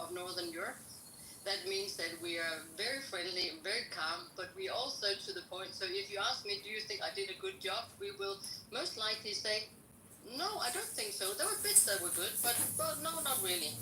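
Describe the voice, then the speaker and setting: A woman's voice: medium pitch, noisy background. A woman from Denmark with a Danish accent, telling wry, direct stories in a casual group discussion. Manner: wry, direct, teasing storyteller. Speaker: discussion participant. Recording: echoey room.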